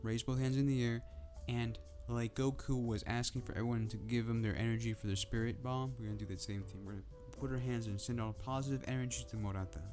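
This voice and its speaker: A man ranting casually to camera about football in a soft, calm, drowsy way. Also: medium pitch, fast, few pauses, faint music behind. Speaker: football vlogger.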